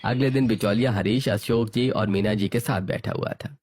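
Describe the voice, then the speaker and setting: A man's voice: lowish voice, steady tone, normal speed, a little mellow, slightly rough. A man narrating a scripted family story.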